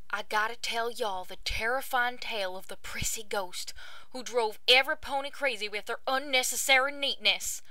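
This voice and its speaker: A woman's voice: on the high side, moderate pace, bright, forceful tone, dry recording. Woman playing a folksy teasing farm pony. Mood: spooky teasing. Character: folksy mischievous farm pony telling spooky tales.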